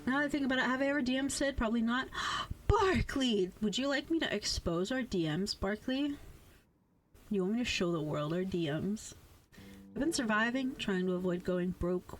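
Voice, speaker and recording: A woman livestreamer chatting casually and reading out chat, playful, theatrical and sweary, with lots of slang. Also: mid-range voice. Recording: background music under voice. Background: music.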